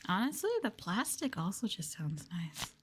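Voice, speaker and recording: A woman streaming ASMR, chatting casually and softly to her viewers, often slipping toward a whisper. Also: lowish voice, big pitch swings, normal speed, noisy background. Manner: soft-spoken, often dropping into whispers. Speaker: VTuber streamer hosting an ASMR livestream. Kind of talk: casual ASMR soft talking to chat. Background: crinkling plastic and tapping trigger sounds.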